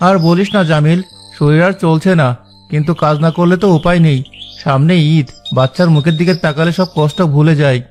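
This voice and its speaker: A man plays a weary, poor father in a cartoon drama. He talks quickly in a slightly high male voice, earnest and plaintive, anxious and gentle, over faint music. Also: fairly even intonation, natural voice.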